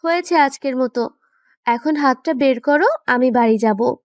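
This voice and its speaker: Romantic audio story lead: actress as pouty young girlfriend, high-pitched, playfully indignant, dry sound. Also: moderate pace, no room sound, lightly acted. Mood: teasing, stubborn. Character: young woman in love, pouty, scolding, teasing.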